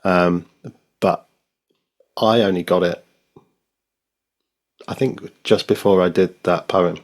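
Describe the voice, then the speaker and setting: A man as a podcast guest, telling stories in a relaxed, hesitant way with many ums. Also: deep voice, takes it slowly. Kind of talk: casual chat.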